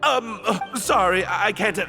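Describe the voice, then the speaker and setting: An actor playing a timid, apologetic male prisoner who stammers and speaks haltingly, in a video game. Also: sits high, creaky, over music. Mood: nervous.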